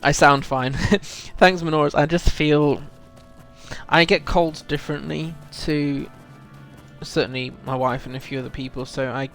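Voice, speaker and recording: Man with creaky voice, casual game streamer. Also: a little high, steady tone, measured pace, a bit bright, soft background music. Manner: rambling, thinking aloud, addressing chat. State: feels full of cold, often clearing throat. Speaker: game livestream host. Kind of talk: relaxed casual chat while gaming.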